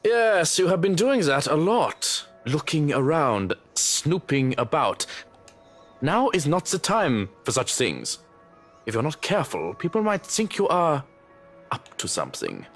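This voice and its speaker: A stern German butler for a visual novel. His pitch is a little high and leaps dramatically as he scolds. He is imperious, impatient and suspicious, with music faintly behind him. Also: wildly swooping pitch, measured pace, fairly bright tone, heavily acted. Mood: suspicious, menacing. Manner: imperious, clipped, formal scolding. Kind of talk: visual novel game voice acting.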